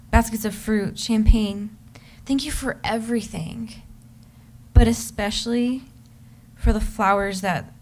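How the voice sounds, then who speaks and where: Yearning, adoring wife, played by a woman, unhurried and ardent, creaky edge, stage reading with slight background noise. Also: medium pitch, close to an everyday voice. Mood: tender, grateful. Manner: ardent, measured, with stumbles in reading. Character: yearning, adoring pregnant wife writing to her husband. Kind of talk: dramatic reading of a love letter on stage.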